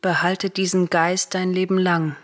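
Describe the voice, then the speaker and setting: An actress playing a cautious, reasoning royal sister in a classical radio drama, speaking in formal, measured, admonishing verse. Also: female voice, fairly low pitch, level tone, close to an everyday voice.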